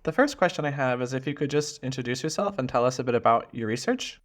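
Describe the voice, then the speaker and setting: A man hosting a podcast, reading a scripted introduction and then asking interview questions in a clear, earnest, friendly way. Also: medium pitch, animated, fast talker. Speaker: podcast host.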